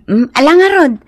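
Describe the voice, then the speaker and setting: A cheerful, sympathetic farm wife in an Ilocano radio drama. Her voice is on the high side and very lively in pitch, with a gentle manner and an Ilocano accent.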